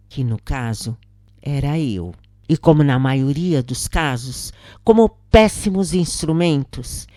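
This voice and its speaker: A Brazilian woman reading a scripted first-person account as a narrator, in a solemn, measured and expressive way. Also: deep voice, dry recording, studio quality.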